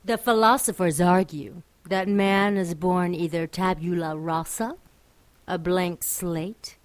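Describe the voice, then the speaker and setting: An actress in a radio drama delivering a scripted monologue as a solemn, scornful judge of human morals. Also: female voice, lowish voice, a little mellow, dry recording. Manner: solemn, deliberate. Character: grave, scornful narrator judging human morality.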